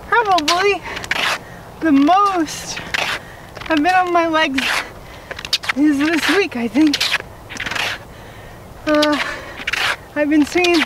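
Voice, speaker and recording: A young woman with a bright, high voice speaks slowly and encouragingly as she walks through a park. She sounds a little winded from the effort. The camera mic sits some way off, and loud wind noise rushes over it. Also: distant mic. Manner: encouraging, a little out of breath from walking on crutches. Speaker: fitness vlogger. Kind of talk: casual talk while walking. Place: outdoor park sidewalk, windy. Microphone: camera filming from a distance.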